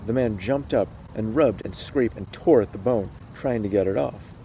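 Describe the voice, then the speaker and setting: A man's voice: lowish voice, moderate pace, warm tone, slight background noise, poor audio. A man hosting a casual read-aloud, moving between storytelling and chat. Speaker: host reading stories aloud.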